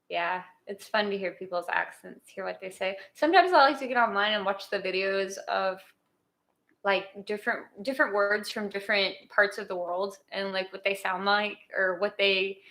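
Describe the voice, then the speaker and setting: A woman's voice: medium pitch, sharp tone. A woman co-hosting a casual chat, rambling with lots of fillers and restarts. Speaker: co-host.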